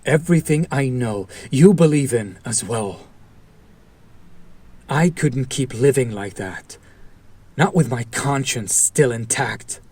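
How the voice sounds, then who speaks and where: A man playing a fallen hero turned villain, an idealistic, bitter and protective former partner, speaking earnestly with dramatic pauses in an audio roleplay. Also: mid-range voice, lively intonation, darkish tone, slightly rough. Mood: bitter, indignant. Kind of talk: dramatic audio roleplay monologue addressed to listener.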